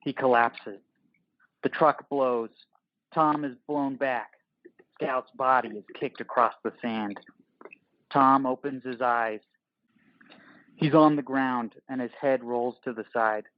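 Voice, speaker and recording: A man's voice: mid-range voice, fairly even intonation, slow pace, long pauses, lo-fi sound. A man reading scripted, terse action narration for an audio play.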